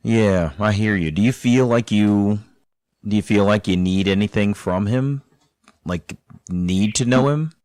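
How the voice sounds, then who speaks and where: A man hosting a podcast, narrating a guest's story and interviewing her in a calm, measured, encouraging way. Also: lowish voice, no echo. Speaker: podcast host.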